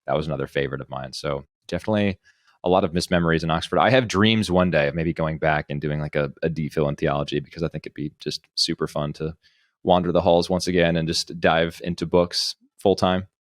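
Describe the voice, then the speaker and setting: A man's voice: extremely low pitch, steady tone, fast talker, dry recording, clean studio sound. A man hosting a casual podcast, telling personal anecdotes in a discursive way, thinking aloud as he goes. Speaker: podcast host.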